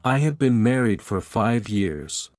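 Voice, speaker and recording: A man reading one side of a scripted English lesson dialogue, speaking in simple sentences with deliberate, even spacing. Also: fairly low pitch, no echo, studio quality. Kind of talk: slow scripted practice dialogue.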